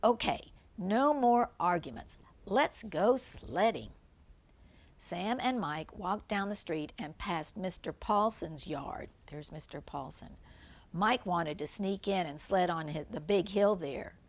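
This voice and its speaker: A woman's voice: lowish voice, measured pace. An older woman reading a children's picture book aloud as a grandmother would, stopping to point things out simply. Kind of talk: read-aloud storybook narration with asides about the pictures.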